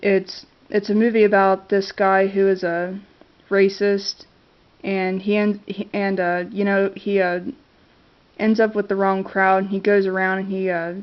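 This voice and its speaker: A woman's voice: mid-range voice, flat delivery, a little slow, clean tone. A congested woman with a cold talks casually to her camera about movies she loves, hesitant and full of fillers. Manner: hesitant, many fillers and restarts. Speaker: vlogger reviewing favorite movies. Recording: dull lo-fi camera audio.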